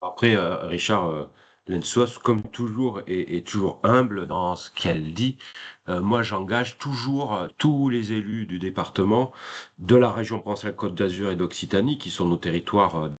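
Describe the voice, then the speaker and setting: Male moderator with a lowish voice, speaking informally and haltingly to a professional meeting. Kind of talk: spontaneous moderating of a professional discussion.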